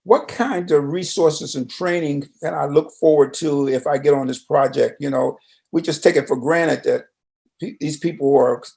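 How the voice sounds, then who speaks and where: A man's voice: on the high side, normal speed, gravelly, darkish tone. A man interviewing in a loose conversational style, plain-spoken, folksy and probing.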